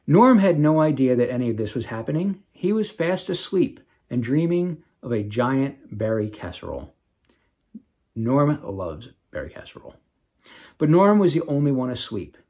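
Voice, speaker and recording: Animated man reading a children's story, slightly husky. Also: medium pitch. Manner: expressive, dramatic emphasis. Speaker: children's author reading aloud. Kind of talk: animated storybook read-aloud with casual asides.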